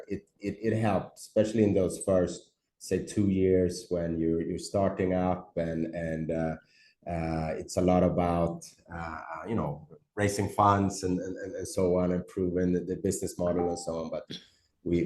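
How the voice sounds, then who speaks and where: Low-voiced man, halting, echoey room. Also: warm tone, slight background noise. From Sweden. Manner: halting, many repeated words and fillers. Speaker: startup CEO, podcast guest. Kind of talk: casual interview answers. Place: office. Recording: roomy echo on a call.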